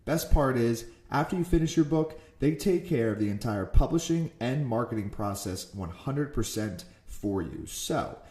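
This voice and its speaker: A man hosting a business podcast, reading an ad and putting prepared questions to his guest in a steady way. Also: medium pitch, moderate pace, hardly any pauses. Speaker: podcast host. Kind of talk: podcast interviewing.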